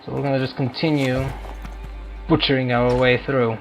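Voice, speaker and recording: Guy doing laid out loud gameplay talk, kinda hesitant, game music in back. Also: medium pitch, level tone, rough recording. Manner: hesitant, halting, thinking aloud. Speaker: gameplay streamer. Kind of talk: casual game commentary. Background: video game music.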